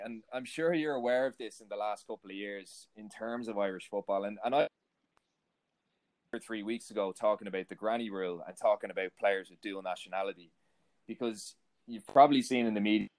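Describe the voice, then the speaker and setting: A man's voice: on the low side, poor audio. A man hosting an interview, asking long, rambling questions full of fillers like "you know" and "kind of". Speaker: podcast host, interviewer.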